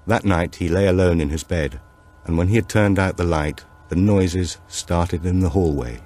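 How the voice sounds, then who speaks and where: Deep-voiced man narrating a thriller. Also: moderate pace, darkish tone. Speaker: audiobook narrator. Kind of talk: scripted narration of a supernatural thriller.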